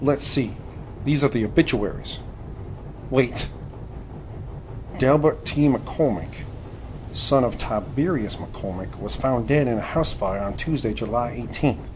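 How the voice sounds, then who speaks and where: Earnest young male recruit man acting, nineteenth-century audio drama. Medium pitch, formal and courteous, faint background noise. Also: expressive, measured pace, pauses often, close to an everyday voice. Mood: stunned. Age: 20s. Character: earnest, polite young gentleman recruit in 1871.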